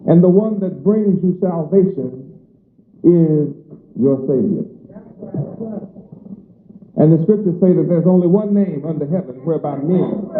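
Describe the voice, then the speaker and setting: A man preaches in a measured, emphatic cadence, stacking phrase upon phrase. His voice sits on the higher side. It comes through a thin, phone-like old recording that rings with room echo. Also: a little hiss or hum. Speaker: religious teacher. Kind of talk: sermon. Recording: echoey lo-fi phone-like archive recording.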